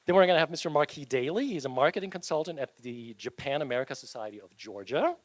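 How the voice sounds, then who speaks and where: A man's voice: fairly high pitch, expressive, quick pace. A man moderating a live panel discussion at an event, upbeat and loose, joking with the audience between questions.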